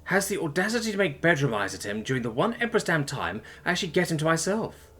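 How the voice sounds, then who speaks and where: Male narrator as young female soldier in a novel: drunk, tearful, self-pitying, crude, raised pitch, husky edge. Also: big pitch swings, fast talker, bright, forceful tone, full character voice. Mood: bitter, sullen. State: sobbing, drunk.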